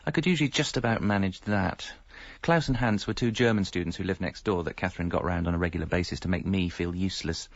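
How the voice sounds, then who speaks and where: A man's voice: low voice, quick pace, vocal fry, few pauses, no room sound. An actor playing a hapless, evasive middle-aged English husband and father, narrating a radio comedy in a wry, self-deprecating way. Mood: wry, confiding. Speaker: narrator and lead character. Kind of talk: radio comedy monologue and dialogue. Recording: slightly dull audio, music behind.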